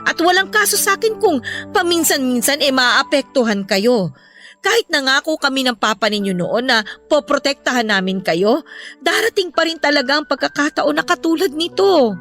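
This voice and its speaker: Worried mother, bright forceful tone, radio drama, motherly counsel, music underneath. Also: high voice, lively intonation, normal speed. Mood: earnest, consoling. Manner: earnest, admonishing, motherly. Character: protective, worried mother gently counselling her children. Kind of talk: emotional radio drama acting. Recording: music bed behind the voice.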